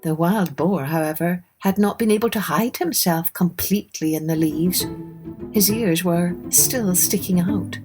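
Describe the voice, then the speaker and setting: A woman with a fairly low voice tells a fairytale for children. Her narration is scripted and expressive, with music clearly playing behind her. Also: unhurried. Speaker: storytelling podcast host. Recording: music under the voice.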